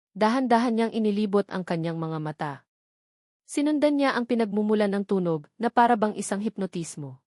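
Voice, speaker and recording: A woman with a Filipino accent reads a scripted love story as narrator, in a measured, emotive storytelling delivery. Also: medium pitch, a little mellow, dry recording, clean studio sound.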